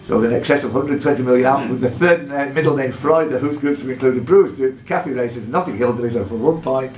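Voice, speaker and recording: A man working as a quiz master, reading buzzer questions aloud in a deliberate way and announcing point values between clues. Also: mid-range voice, a little mellow. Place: pub room with contestants. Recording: echoey room with faint background noise.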